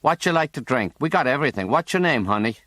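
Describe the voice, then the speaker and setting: A man's voice: medium pitch, expressive, fast, fairly bright tone, dry recording, voice pushed up, lightly acted. A man voicing a brash, flirtatious American woman hosting a party. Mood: flirty. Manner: breezy, flirtatious.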